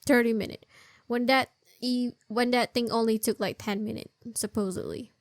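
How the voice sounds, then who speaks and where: A woman's voice: a little high, fairly even intonation, normal speed, no room sound. A woman doing casual, chatty gameplay commentary in informal non-native English. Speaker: game streamer. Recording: game music behind the voice. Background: video game music.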